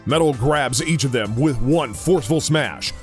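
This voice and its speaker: A man's voice: mid-range voice, moderate pace, soft background music. A man reading scripted narration for an audio drama.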